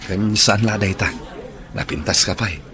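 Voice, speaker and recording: A man's voice: lowish voice, normal speed, over music, lightly acted. A man playing a shy young office worker. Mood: earnest. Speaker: office worker Ronnie in radio drama. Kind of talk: Ilocano radio drama acting.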